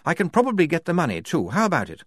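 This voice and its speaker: A man voicing a brisk, reasonable army major who speaks politely and persuasively in an audiobook. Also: on the high side, wildly swooping pitch, dry recording, clean studio sound, voice pushed up. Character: crisp, reasonable male army major, pragmatic legal officer.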